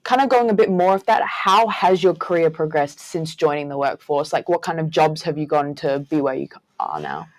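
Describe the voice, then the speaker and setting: Low-pitched woman hosting a podcast. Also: normal speed, few pauses. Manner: encouraging, with frequent short affirmations and laughs. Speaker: podcast host. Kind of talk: podcast interview.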